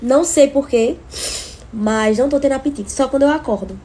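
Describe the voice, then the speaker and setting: A woman's voice: high voice, brisk, breathy edge. Chatty young woman with a cold. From Brazil. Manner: chatty, breezy, animated when venting. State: sick with a cold, coughing. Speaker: influencer. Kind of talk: casual vlog stories to followers. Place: home living room and kitchen. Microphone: phone held at arm's length.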